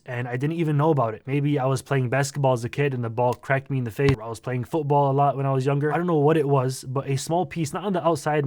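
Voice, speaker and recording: A young man with a stuffed-up, nasal voice tells his story in a loose, animated vlog style, full of slang. His voice sits at a middle pitch. The sound is dry and close. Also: no echo. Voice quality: nasal, congested. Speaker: vlogger.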